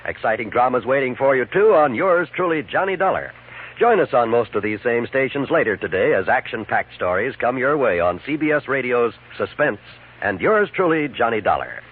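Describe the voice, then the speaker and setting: An American male announcer from fifties network radio reads a scripted promo. His delivery is lively and swooping, with a husky edge, on a narrow tinny recording. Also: mid-range voice, normal speed.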